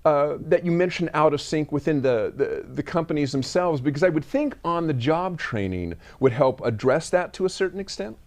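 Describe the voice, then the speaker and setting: A man's voice: on the high side, expressive, normal speed, few pauses. A middle-aged man hosting an interview, asking conversational questions that meander and restart mid-phrase. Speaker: interviewer, host.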